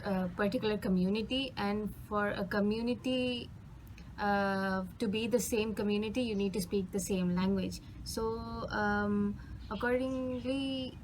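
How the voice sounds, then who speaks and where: Indian student, woman, mid-pitched, filler-heavy answers, noisy spot. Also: steady tone, a little slow. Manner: fluent but filler-heavy, many "like" and "um". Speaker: interviewee, international student. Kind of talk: casual spoken answers. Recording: noisy background.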